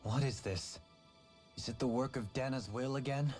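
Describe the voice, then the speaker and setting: A man's voice: mid-range voice, moderate pace, darkish tone, faint music behind, close to an everyday voice. A man playing a serious, pondering fantasy adventurer in dramatic video game dialogue. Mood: grave, puzzled.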